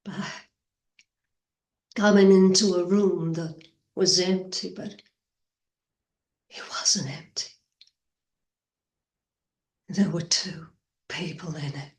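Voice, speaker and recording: A woman performing a stage monologue as a fragile, haunted Southern woman recalling young love, halting and drifting into whispers. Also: on the low side, steady tone, a little mellow. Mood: anguished. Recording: echoey room.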